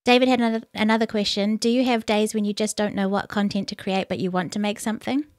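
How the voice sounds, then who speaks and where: A woman hosting a casual interview, speaking in a calm, relaxing voice. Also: medium pitch, fast talker, no room sound, clean studio sound. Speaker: interview host.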